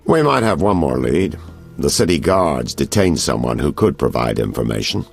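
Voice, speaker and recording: Knowing, insinuating game detective: husky, creaky, very low, animated. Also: male voice, normal speed, faint music behind, exaggerated voice. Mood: sly, knowing. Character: sly, knowing male private detective selling information. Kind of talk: video game character acting.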